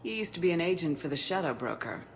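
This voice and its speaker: An actress playing a tough military commander in a video game, terse, firm and direct. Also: female voice, fairly low pitch, big pitch swings, fast talker, soft background music.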